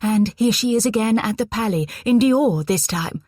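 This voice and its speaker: A woman voicing a bubbly, cheeky young wartime nurse who talks briskly and teases her friend. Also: fairly low pitch, gravelly, husky, dry recording, studio quality. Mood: posh mimicry. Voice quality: brisk, teasing, exuberant.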